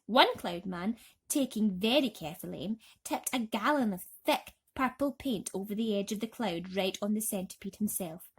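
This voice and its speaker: Female storybook narrator, dramatic and suspenseful, expressive bedtime reading at a natural middle pitch. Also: normal speed, breathy edge.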